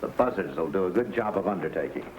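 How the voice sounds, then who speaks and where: An actor playing a cold, sardonic villain in an old-time radio western. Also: male voice, low voice, lively intonation, quick pace. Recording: echoey, very noisy old radio recording.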